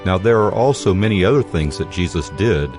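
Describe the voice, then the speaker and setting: A male narrator reads scripture in a measured, solemn manner. His voice sits fairly low, and music plays clearly underneath the reading. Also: lively intonation. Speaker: Bible narrator.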